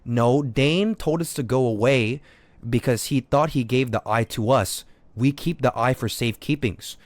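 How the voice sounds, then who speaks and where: A man's voice: medium pitch, moderate pace, no room sound. A man live streaming a game with unscripted commentary, arguing insistently, repeating himself and swearing casually. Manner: argumentative, repetitive, swears casually.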